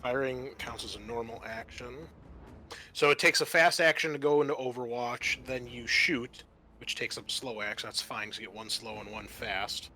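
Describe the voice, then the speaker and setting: Bright-voiced man running a tabletop game. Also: medium pitch, steady tone, moderate pace, slight background noise. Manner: conversational, explanatory. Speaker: game master. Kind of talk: narrating and ruling a tabletop roleplaying game. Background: background music.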